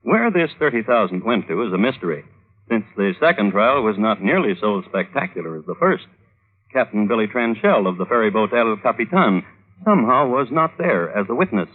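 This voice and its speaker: A low, droll crime storyteller. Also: male voice, sing-song. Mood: wry storytelling. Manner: wry, deliberate phrasing. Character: droll, dry male crime connoisseur narrating historical murders. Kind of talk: 1950s radio storytelling. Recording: old radio broadcast, tinny narrow band.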